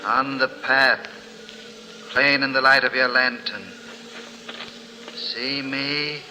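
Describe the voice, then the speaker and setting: Slow, echoing, taunting ghost railwayman. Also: medium pitch, steady tone, bright, forceful tone. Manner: slow, mocking, ominous. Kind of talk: 1940s radio mystery acting. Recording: reverberant, noisy old radio recording.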